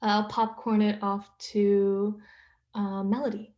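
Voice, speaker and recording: A woman's voice: medium pitch, flat delivery, takes it slowly, muffled recording. A young woman introducing herself casually as a student playwright on a panel.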